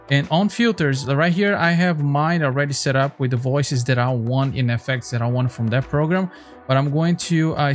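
Man giving a casual tutorial over music. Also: medium pitch. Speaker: tutorial host. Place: small home streaming room. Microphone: close desk microphone.

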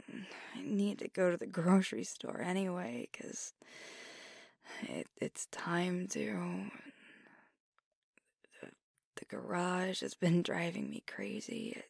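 A woman's voice: on the low side, creaky, a little mellow, husky, dry recording, studio quality, heavily acted. A woman voicing a sleepy young werewolf girlfriend in an ASMR roleplay, talking in drowsy half-whispers with hesitations.

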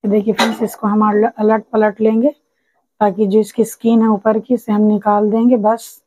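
A woman's voice: mid-range voice, little pitch movement, moderate pace, mellow, breathy edge, no echo, rough recording. A woman hosting a cooking channel, patiently walking viewers through a recipe step by step and often calling them friends. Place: home kitchen.

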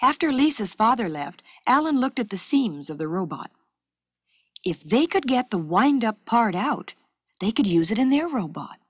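Woman telling a school filmstrip story, clear delivery, really dry close sound. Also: a little high, big pitch swings, normal speed, no room sound, highs cut off. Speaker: narrator of an educational film strip. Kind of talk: scripted children's story narration.

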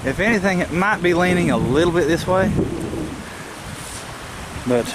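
A man's voice: sits high, animated, moderate pace. A man in his thirties giving a casual, practical how-to explanation in a folksy way, recorded outdoors on a camera mic. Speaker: amateur radio hobbyist and vlogger. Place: outdoors in a rural yard. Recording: outdoor camera audio, loud background noise. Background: loud outdoor ambient noise.

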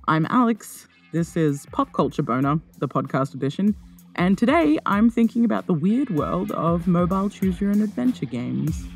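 A woman's voice: lowish voice, sing-song, moderate pace, darkish tone, soft background music. A woman hosting a podcast solo in a casual, conversational way, wry and self-deprecating. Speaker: podcast host. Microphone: close podcast microphone.

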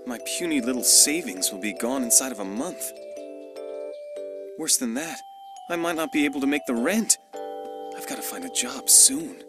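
Flustered student, wildly swinging pitch. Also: male voice, normal speed, pauses often. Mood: worried. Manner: excitable, muttering to himself. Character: flustered, earnest young male student, easily panicked. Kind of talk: English anime dub acting. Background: music.

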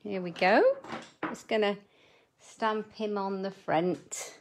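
A woman's voice: mid-range voice, fairly even intonation, takes it slowly, slight background noise. A middle-aged woman hosting a live crafting demo from her desk at home, chatting with viewers and muttering asides as she works. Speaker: papercraft host.

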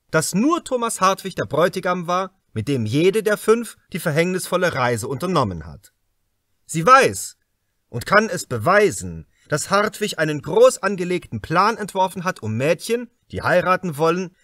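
A severe prosecutor, played by a male audiobook reader, makes a grave formal accusation. The voice is a little high and swings strongly for emphasis, in a dry recording. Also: fairly bright tone, dry recording. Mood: stern, accusing.

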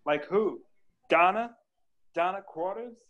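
A man acts out a grieving, overwhelmed sitcom widower. His voice sits slightly high, and the video call makes it sound muffled. It is a table read of a script. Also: takes it slowly. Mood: surprised.

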